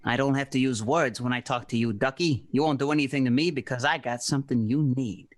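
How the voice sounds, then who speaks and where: A man's voice: mid-range voice, quick pace, voice pushed down, close to an everyday voice. A man playing a cynical, terse, wisecracking private detective in a noir radio play. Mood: curt, cynical. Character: hard-boiled cynical male private detective.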